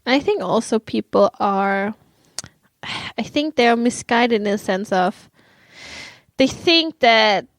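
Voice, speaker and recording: A woman's voice: fairly high pitch, expressive, takes it slowly, dry recording. Giggly woman hosting a podcast. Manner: giggly, chatty. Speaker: podcast host. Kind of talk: casual banter.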